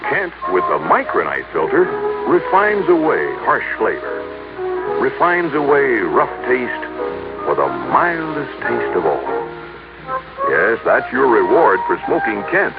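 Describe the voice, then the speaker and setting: A man's voice: mid-range voice, huge pitch swings, a little slow, creaky. A man delivering a vintage radio advertisement as a polished, emphatic announcer. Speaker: commercial announcer. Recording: tinny old broadcast recording. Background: music.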